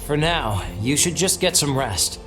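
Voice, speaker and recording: A man playing a grateful, gentle townsman in a video game. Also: fairly high pitch, expressive, a little slow, over loud music.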